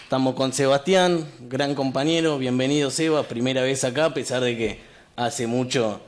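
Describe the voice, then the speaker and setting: Man, Rioplatense accent, talk show host, rambling, restarts, mid-pitch. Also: moderate pace. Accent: Rioplatense Spanish. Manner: rambling, with restarts and repeated words.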